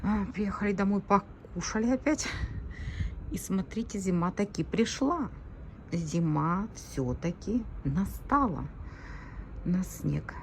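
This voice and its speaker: A woman's voice: mid-range voice, lively intonation, slow. A woman vlogging casually on her phone camera, chatting to viewers about her day. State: scratchy throat, coming down with a cold. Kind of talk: casual vlog chat to camera. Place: at home indoors, then at an outdoor bus stop. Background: wind and passing traffic noise.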